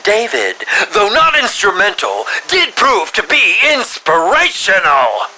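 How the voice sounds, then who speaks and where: An actor voicing a melodramatic, sinister film director villain in a video game, drawing out his lines and dropping into breathy whispers. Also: high voice, wildly swooping pitch, sharp tone, exaggerated voice, low-quality recording. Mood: gloating menace. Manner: melodramatic, drawn-out delivery with breathy whispered drops. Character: theatrical, sinister mad male film director villain, grieving and menacing. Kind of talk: scripted game villain monologue.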